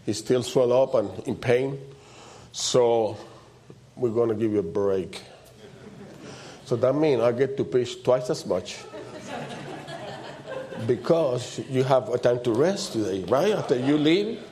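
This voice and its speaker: A man with a loud voice and preaches in an informal, conversational way, repeating short phrases for emphasis. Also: medium pitch, animated, slow pace, pauses often. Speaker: preacher leading a church service.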